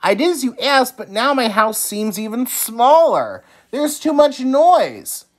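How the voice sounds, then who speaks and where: A man's voice: very high voice, very animated, a little slow, pitched above the performer's own voice, full character voice. A man voicing an exasperated, pleading village man in a children's storybook, complaining emphatically.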